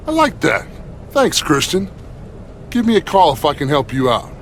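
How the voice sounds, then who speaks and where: A huge, friendly wrestler from a video game, eager to please. His middle-pitched voice moves up and down a lot, over faint noise. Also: wildly swooping pitch, moderate pace.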